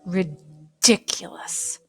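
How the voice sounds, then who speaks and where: A woman's voice: extremely slow, bright, forceful tone, faint music behind. A woman voicing a fussy, practical male pig from a children's storybook, with a grumbling, emphatic manner. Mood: muttering, dismissive.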